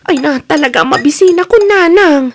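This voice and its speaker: Actress doing broke young filipino working girl, really high lively voice, pleady and defensive, radio comedy drama. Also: moderate pace. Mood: pleading, evasive. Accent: Philippine.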